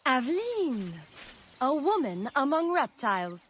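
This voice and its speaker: An actress playing a proud, sharp-tongued woman smuggler of the swamp in a video game, sassy and blunt. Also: high-pitched, big pitch swings, a little slow, music in the background. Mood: wry.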